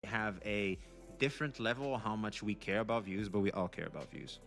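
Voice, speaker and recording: A man's voice: lowish voice, brisk, vocal fry, fairly bright tone, faint music behind. A man giving opinionated commentary in an emphatic, scolding way.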